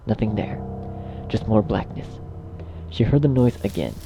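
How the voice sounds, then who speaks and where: A man narrating a dark fan fiction story as a scripted reading. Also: fairly low pitch, very animated, normal speed, vocal fry, over music.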